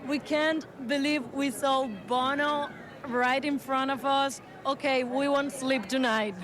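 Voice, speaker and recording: A woman's voice: high voice, steady tone, a little slow. A woman from the Dominican Republic giving an enthusiastic vox pop reaction after a concert. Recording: crowd noise outdoors.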